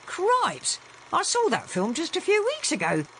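A woman's voice: fairly low pitch, very animated, moderate pace, a bit bright, a little hiss or hum. A woman voicing a blunt, plucky young cockney sailor with a cheeky, slangy way of talking. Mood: exasperated.

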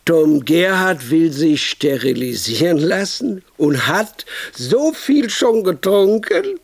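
An actor playing a brash, pushy, excitable film idea man in a radio drama, rambling insistently and interrupting himself as he pitches. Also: takes it slowly, vocal fry, no echo, full character voice. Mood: excited, insistent. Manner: rambling, insistent, self-interrupting, swinging into emphatic outbursts.

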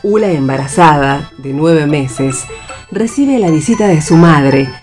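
A woman's voice: low-pitched, expressive, unhurried, darkish tone, soft background music. A woman with an Argentine accent reading stage directions as a scripted narrator.

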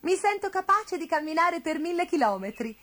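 A woman's voice: very high-pitched, big pitch swings, brisk, a bit bright, faint music behind, voice pushed up, full character voice. A woman voicing a polite, eager little witch's kitten in a storybook, speaking earnestly. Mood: cheerful, confident.

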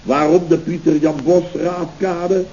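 A man's voice: on the high side, flat delivery, measured pace, warm tone. A man playing a pompous, indignant, self-satisfied building contractor in a scripted radio comedy, blustering and rambling in grand words. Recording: dull, noisy old radio recording.

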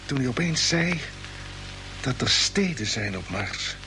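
An actor playing an earnest, uneasy spaceship commander in a radio drama, speaking haltingly and urgently. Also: male voice, mid-range voice, very animated, noisy background. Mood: confiding, troubled. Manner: halting, urgent, with repeated words. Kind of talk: old radio drama acting.

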